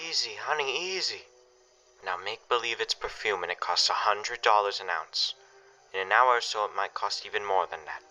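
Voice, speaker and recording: A man playing a forceful, steady family father. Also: lowish voice, measured pace, exaggerated voice. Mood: controlled, urgent. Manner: firm, reassuring, directive. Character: steady, commanding family father preparing for disaster. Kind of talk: radio drama acting. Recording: dull, band-limited recording.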